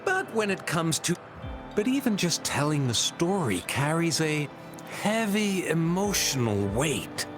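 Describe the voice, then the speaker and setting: An actor playing a musing, philosophical storyteller in a video game, with a theatrical, rhetorical delivery. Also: male voice, a little high, sing-song, measured pace. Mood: dramatic, rhetorical. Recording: background music under the voice.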